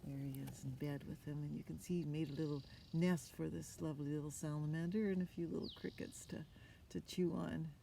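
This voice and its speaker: An older woman with a slightly low voice shares a picture book. She chats expressively between passages and puts questions to her listeners. The recording is outdoors, with some noise behind her. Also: fairly even intonation, fairly quick, vocal fry, warm tone. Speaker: storyteller reading aloud. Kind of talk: picture-book read-aloud with casual asides to children. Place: outdoors on a forest floor. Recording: outdoor ambience with noticeable background noise.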